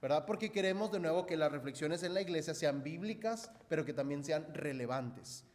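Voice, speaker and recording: A man's voice: on the high side, expressive, a little fast. A man preaching to his congregation in a conversational, explanatory way, repeating key phrases and often checking in with short tag questions. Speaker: pastor preaching to a church congregation. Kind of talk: conversational sermon with rhetorical questions. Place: church hall. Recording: echoey hall with long reverb.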